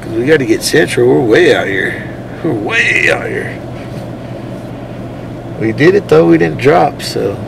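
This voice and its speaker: A man's voice: mid-range voice, sing-song, unhurried, creaky, long pauses. A man hosting a livestream, chatting casually and in slang to his viewers as he covers police activity. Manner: slangy, addressing viewers as guys. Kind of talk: casual live commentary to viewers. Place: outdoors at night roadside, then in a car. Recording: noisy outdoor street and car ambience.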